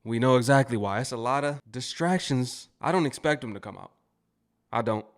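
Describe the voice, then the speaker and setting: A man's voice: mid-range voice, fairly quick. An American man giving casual, opinionated commentary as a host. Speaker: commentary channel host reacting to clips.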